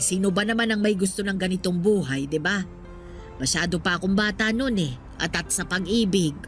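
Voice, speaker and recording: A woman's voice: lowish voice, close to an everyday voice. Reflective elderly Filipino mother played by an actress, music behind. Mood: rueful. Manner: reminiscing, gently advising. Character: elderly mother, poor but contented, reflective and loving. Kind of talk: Tagalog radio drama acting. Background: background music.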